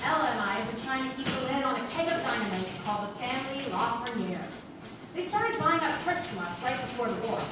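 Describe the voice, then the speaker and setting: An actress playing a timid, earnest 1940s woman accountant in a radio-style stage drama, plain-spoken as she tells her story. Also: high-pitched. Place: theatre stage, live show. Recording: echoey theatre stage, distant mic, noisy.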